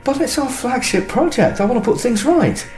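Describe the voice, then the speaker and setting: A man with a British accent acting a harried, defensive husband in a naturalistic radio drama, speaking in an agitated, halting way. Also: very high voice, expressive, music in the background. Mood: anxious pleading. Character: harried, defensive husband under mounting strain.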